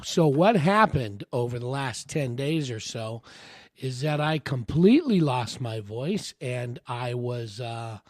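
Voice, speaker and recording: A man hosting a casual solo podcast, hoarse from laryngitis, rambling along with many stops and restarts. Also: mid-range voice, no room sound, clean studio sound. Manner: rambling, with frequent uh fillers and restarts. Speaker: podcast host.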